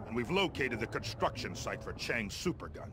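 An actor playing a gruff, impatient middle-aged American army colonel in a video game, briefing in a blunt, commanding, wry way. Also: male voice, medium pitch, very animated, normal speed, noisy background, close to an everyday voice. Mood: businesslike, urgent. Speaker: military officer giving mission briefings.

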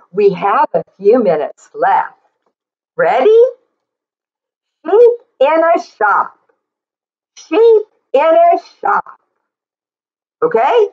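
A middle-aged woman reading aloud to young children in an animated, sing-song way, with encouraging asides. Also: high voice, highs cut off. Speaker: children's story reader and teacher. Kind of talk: read-aloud for young children, repeating lines slowly. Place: small plain indoor room.

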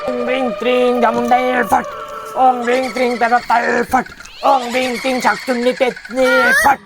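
A stern village exorcist from a horror cartoon, played by a man. His voice is extremely high-pitched for a man, forceful and imperious, as he chants and repeats commands. Music plays clearly behind him. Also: little pitch movement, moderate pace. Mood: chanting. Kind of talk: Bengali horror cartoon voice acting.

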